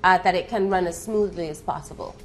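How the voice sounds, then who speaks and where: A woman's voice: lowish voice, a little fast, slight background noise. A woman hosting a studio talk show and putting questions to her guests. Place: TV studio set, indoors.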